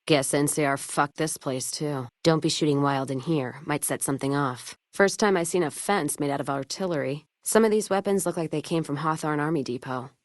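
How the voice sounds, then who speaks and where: A woman's voice: sits low, a little fast, few pauses, no room sound, studio quality, close to an everyday voice. A woman playing a tough, cynical, hard-drinking caravanner in a video game, making blunt, wry, foul-mouthed remarks in a folksy way. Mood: wry, wary. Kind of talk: video game companion voice lines.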